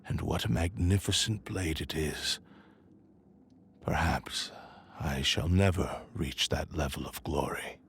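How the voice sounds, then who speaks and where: Deep, slow, despairing sword spirit. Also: male voice, creaky, fairly bright tone, slight background noise, full character voice. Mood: sorrowful, bitter. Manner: slow, grave, archaic formal speech. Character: living sword spirit in human form, proud, despairing man. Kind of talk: video game voice acting.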